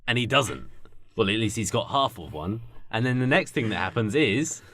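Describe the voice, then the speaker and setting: A man's voice: fairly low pitch, lively intonation, moderate pace, a bit bright. A young man chatting casually and comically as a podcast co-host.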